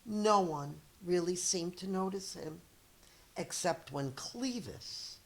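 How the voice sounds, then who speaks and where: A middle-aged woman reads a picture book to children like a schoolteacher. Her voice sits slightly low and she goes very slowly and deliberately. She tells it expressively, adding small chatty asides. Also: a little hiss or hum. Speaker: elementary school teacher reading a story.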